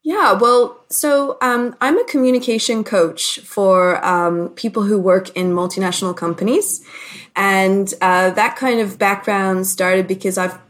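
A woman born in Hong Kong, a communication coach, talking casually as a guest and explaining her ideas with thoughtful pauses. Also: mid-range voice. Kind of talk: casual interview.

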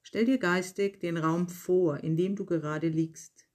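Yoga nidra guide, really slow and calm, medium pitch, short phrases w pauses, reading a relaxation script.

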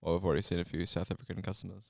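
Easygoing New podcast host, low voice with vocal fry. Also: male voice, racing, no echo, clean studio sound. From New Zealand. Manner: relaxed, brief affirmations. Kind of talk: casual interview chat.

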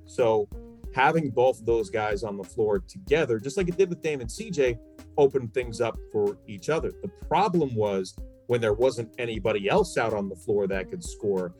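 A man's voice: mid-range voice, lively intonation, moderate pace, a little mellow, soft background music. A man talking basketball as a sports commentator, giving opinions emphatically and repeating phrases for stress. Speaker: sports commentator, podcast guest.

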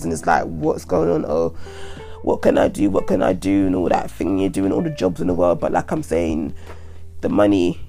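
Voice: medium pitch, gravelly, warm tone. A young adult podcaster with a stuffy head cold, rambling casually and repeating themselves. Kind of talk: casual solo monologue.

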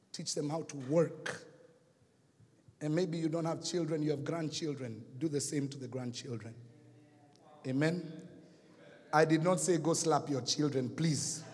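A man with a Kenyan accent preaching a sermon, telling a story and swinging from hushed to shouting. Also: a little high, big-room sound. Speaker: pastor. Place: church hall.

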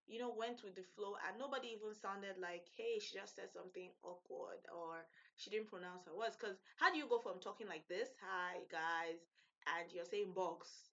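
Nigerian woman podcasting solo, bright voice, chatty and laughing a lot, kinda dull lo-fi sound. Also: mid-range voice, normal speed. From Nigeria (Edo State). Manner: animated, laughs often, acts out voices in her head. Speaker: podcast host. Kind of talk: casual solo storytelling.

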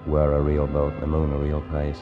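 A deep-voiced, earnest young man of old radio drama. He hesitates and repeats his words, unsure of himself and sincere. Faint music sits behind him. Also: completely flat delivery, a little fast, phone-quality sound. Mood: tender, wistful. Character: earnest, self-doubting young man, shy and grateful holiday guest.

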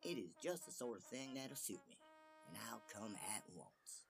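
A man voicing a boastful, brisk little tailor in a fairy tale, cocky and self-assured. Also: fairly low pitch, sing-song, gravelly, over music, full character voice. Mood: eager, confident.

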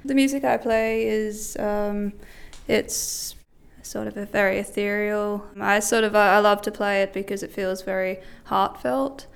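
A woman's voice: medium pitch, fairly even intonation, a little slow, creaky, smooth. A young woman with an Australian accent talking casually and hesitantly about herself as a musician. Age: young adult. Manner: hesitant, informal. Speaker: musician interviewee. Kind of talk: casual reflective talk.

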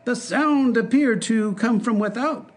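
A man reading a tale voices a smooth-spoken courtier. The pitch sits well above his narrating voice, and the words drift out slowly with an offhand ease.

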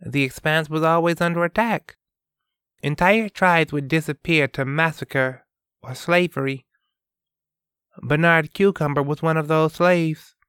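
Learned young woman read by a man, measured, slow lore explanation. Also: a little high, fairly bright tone, smooth, no echo, studio quality, voice pushed up. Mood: explaining.

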